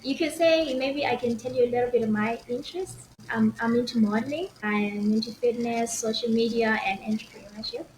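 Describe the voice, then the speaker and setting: Young female guest, Zimbabwean accent, fairly high voice, casual storytelling, recorded in an echoey room. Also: fairly even intonation, brisk, few pauses, darkish tone. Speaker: interview guest. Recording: echoey room with faint noise.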